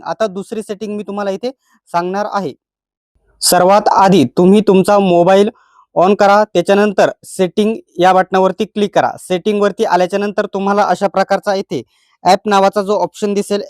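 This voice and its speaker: A man's voice: sits high, steady tone, normal speed, darkish tone, no echo, clean studio sound. A man with a Marathi accent presents a step-by-step phone tutorial, instructing plainly and repeating each step.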